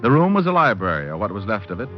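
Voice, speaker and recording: An actor playing a cocky, wisecracking hardboiled private detective who narrates with deadpan humor in an old-time radio drama. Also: male voice, lowish voice, rapid-fire. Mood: wry narration. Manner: deadpan, flippant wordplay in narration and dialogue. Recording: muffled old radio recording with music.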